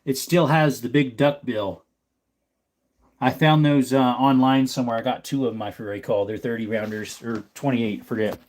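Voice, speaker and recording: A man hosting a casual livestream, reading and answering viewer chat questions in a relaxed, rambling way and thanking viewers by name. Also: mid-range voice, moderate pace. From not from the American South. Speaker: livestream host.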